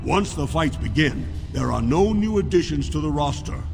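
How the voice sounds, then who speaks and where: A man's voice: fairly high pitch, expressive, normal speed, vocal fry. An actor playing an officious dwarf master of arena fights in a video game, formal in his delivery. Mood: brisk, officious. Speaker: arena announcer and registrar. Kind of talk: video game dialogue acting. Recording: long reverb, music behind.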